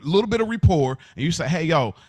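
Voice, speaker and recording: A man's voice: fairly high pitch, sing-song, fast, a little mellow, breathy edge, no echo. A man in a casual, argumentative podcast chat among friends, insistent and slangy, often repeating himself for emphasis. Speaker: podcast co-host.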